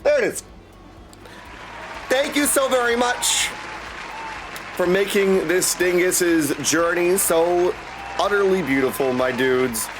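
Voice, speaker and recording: A man's voice: high-pitched, expressive, slow, long pauses, over music, crowd noise, low-quality recording. A man hosting a casual livestream, chatting effusively with viewers, often exclaiming and calling them dudes. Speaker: live streamer and host.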